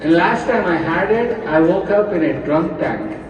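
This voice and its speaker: A man from New Jersey doing a stand-up set, telling deadpan stories and acting out quotes. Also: fairly high pitch, a little slow, highs cut off, crowd noise. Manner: deadpan storytelling with acted quotes. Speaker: stand-up comedian. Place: event hall with a crowd. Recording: echoey hall, mic heard from far off.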